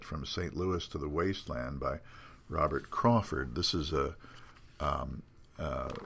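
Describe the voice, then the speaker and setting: Older man, extremely deep pitch, bookish unscripted talk, hesitant, frequent ums, self-correcting, erudite phrasing. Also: a bit bright, husky. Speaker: podcast guest editor previewing magazine contents.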